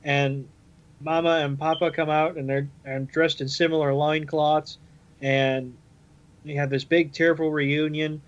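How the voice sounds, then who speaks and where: A man's voice: on the high side, level tone, measured pace, lo-fi sound. A man chatting casually on a podcast panel, recapping and explaining things step by step. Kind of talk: casual episode recap discussion.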